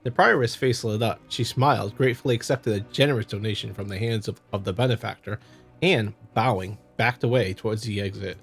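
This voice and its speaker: A man hosting a visual novel playthrough, reading narration and commenting casually in a halting, stumbling way. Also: on the low side, creaky. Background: music.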